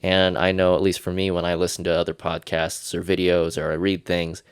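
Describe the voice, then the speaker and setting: A man's voice: low voice, level tone, a little fast, smooth, dry recording, clean studio sound. A man hosting a solo podcast, congested from his sinuses, rambling and joking at himself. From Irish. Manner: rambling, self-deprecating, jokey. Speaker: podcast host.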